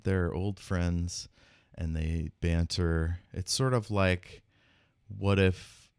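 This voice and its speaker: A man chatting casually among friends, explaining things in a measured way. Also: low voice, smooth, no room sound, clean studio sound. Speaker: player. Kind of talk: casual chat.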